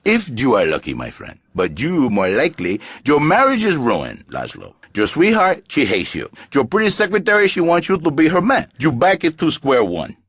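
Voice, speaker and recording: An actor playing a flamboyant, pompous Latin lothario with a Spanish accent and husky voice, theatrical and grandiose in broken English on satirical talk radio. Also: male voice, on the high side, wildly swooping pitch, normal speed, hardly any pauses, a bit bright, no echo, full character voice. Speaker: radio talk show guest.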